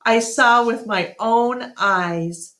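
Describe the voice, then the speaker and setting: A woman's voice: fairly high pitch, drawn-out words, a bit bright, voice pushed up. A woman voicing an earnest, emotional male silverback gorilla in a storybook read-aloud. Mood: tender. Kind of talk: first-person storybook character. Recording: echoey room.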